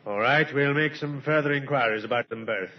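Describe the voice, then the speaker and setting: An actor playing an authoritative police inspector in a radio drama, questioning in a brisk, commanding way. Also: male voice, mid-range voice, natural voice. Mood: brisk, businesslike. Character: calm, authoritative male Scotland Yard police inspector. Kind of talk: 1950s radio drama acting. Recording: muffled old radio recording.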